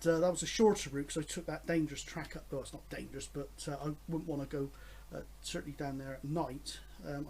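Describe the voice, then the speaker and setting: A man in his fifties with a fairly high voice chats to his viewers about his hobby. His delivery is rambling and hesitant, with an enthusiastic, conversational feel. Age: 50s. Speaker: host of a radio hobby vlog. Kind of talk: casual, rambling chat to camera.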